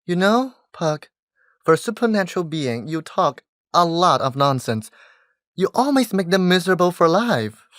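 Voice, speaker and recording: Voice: mid-range voice, very animated, normal speed, fairly bright tone, no echo, clean studio sound. An actor playing a lofty, commanding fairy monarch who tells tales in a theatrical stage-verse manner. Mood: conversational, amused. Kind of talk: Shakespearean verse stage acting.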